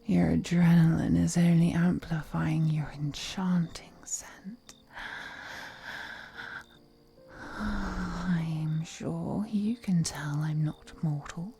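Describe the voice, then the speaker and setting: Woman playing a slow, breathy, seductive vampire lady. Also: fairly low pitch, steady tone, soft background music, full character voice. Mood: seductive, teasing. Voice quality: breathy, half-whispered. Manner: breathy, seductive, slow murmuring with soft hums. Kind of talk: intimate audio roleplay acting.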